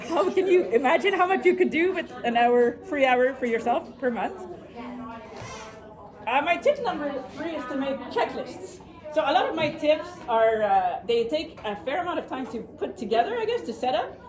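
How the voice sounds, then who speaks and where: A woman with a French accent gives a casual workshop talk, fairly high-pitched and brisk. She is chatty and self-deprecating and often laughs between points. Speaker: workshop presenter. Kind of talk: informal live presentation.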